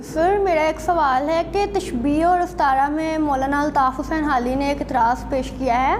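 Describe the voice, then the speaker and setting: A woman's voice: high-pitched, steady tone. A woman student putting a formal question to her lecturer. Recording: faint background noise.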